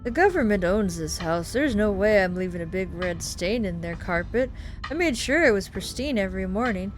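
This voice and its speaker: A woman reading a visual novel aloud as a gaming host, in an expressive, theatrical way. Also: mid-range voice, moderate pace. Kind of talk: playthrough reading, narrating and voicing visual novel dialogue with reactions. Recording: music behind the voice. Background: game music.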